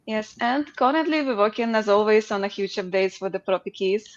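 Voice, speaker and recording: Developer, female, mid-pitched, chatty, muffled lo-fi audio. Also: a little fast. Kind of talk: casual livestream chat. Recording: dull, slightly lo-fi sound.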